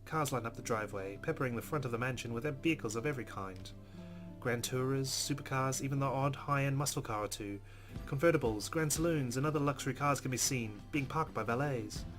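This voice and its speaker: A male gaming host narrates a visual novel as he plays. His voice sits at a middle pitch, and game music plays clearly behind him. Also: fairly quick. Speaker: let's play host. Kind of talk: reading visual novel narration aloud with asides.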